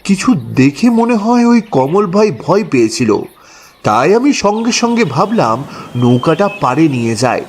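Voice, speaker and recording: A man's voice: fairly high pitch, sing-song, normal speed, a little hiss or hum. A man narrating a horror story dramatically in the first person as a boatman.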